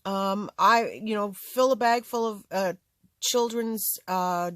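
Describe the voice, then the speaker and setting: An older woman with a slightly raspy voice hosting a casual, rambling craft tutorial, full of ums and asides. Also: mid-range voice, slow pace, a bit bright, no room sound, studio quality. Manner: rambling, chatty, many fillers. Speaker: craft channel host.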